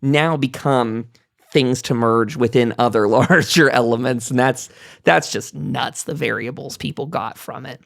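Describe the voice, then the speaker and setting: Creaky voice of indistinct sex, mid pitch, effusive, emphatic, rambling, podcast host. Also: measured pace. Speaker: podcast host leading a roundtable. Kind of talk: casual enthusiastic panel discussion about a video game.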